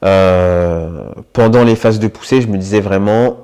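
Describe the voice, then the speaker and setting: A man in his twenties from the Paris region, giving a reflective, hesitant personal testimony in an interview, with frequent filler pauses. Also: fairly low pitch, mellow, smooth. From Paris region, France. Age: 20s. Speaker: interviewee sharing his experience with illness.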